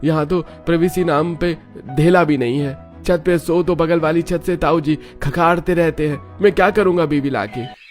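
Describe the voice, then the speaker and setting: A man's voice: fairly high pitch, moderate pace, soft background music, natural voice. A man voicing an exasperated, reluctant young village bachelor son in a cartoon, protesting as he talks. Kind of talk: Hindi cartoon dialogue.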